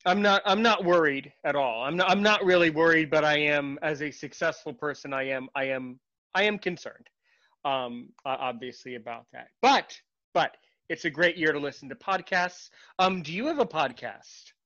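A man hosting a podcast interview in casual chat, halting and repeating his words, with a sarcastic streak. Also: fairly high pitch, expressive, bright, forceful tone, lo-fi sound. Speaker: podcast host.

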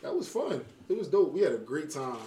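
A man's voice: a little high, sing-song, mellow, a little hiss or hum. A man in his thirties, a podcast guest, chatting casually and laughing easily. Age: 30s. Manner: quick, loose, laughs easily. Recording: roomy and off-mic.

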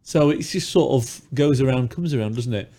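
A man co-hosting a podcast, chatty and jokey, a little hoarse from a sore throat. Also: medium pitch, normal speed, dark tone. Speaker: podcast co-host. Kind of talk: casual chat. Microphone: studio mic with pop filter.

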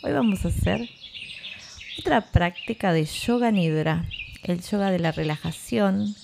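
A woman with a Rioplatense Spanish accent guides a relaxation meditation as a yoga instructor, in a calm, unhurried, soothing manner. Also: on the low side, creaky, slight background noise.

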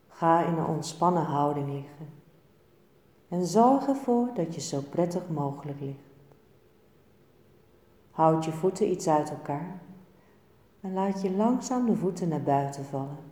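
Woman, meditation teacher, dark relaxed tone, very slow measured delivery, soothing, guided relaxation, echoey reverberant room. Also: low voice. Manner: calm, soothing, measured with short phrases and pauses. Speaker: yoga nidra meditation teacher.

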